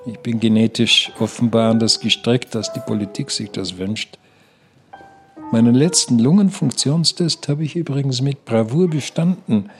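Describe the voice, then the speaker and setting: A man's voice: medium pitch, normal speed, faint music behind, lightly acted. A man playing a self-assured smoker who defensively insists on his health in a mock documentary. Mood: defiant, proud. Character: stubborn, self-assured male smoker in denial.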